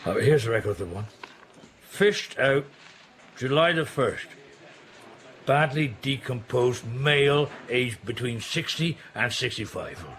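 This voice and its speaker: A man's voice: medium pitch, lively intonation, unhurried, fairly bright tone. An actor playing a policeman in a radio drama, methodical and clipped as he goes through case records.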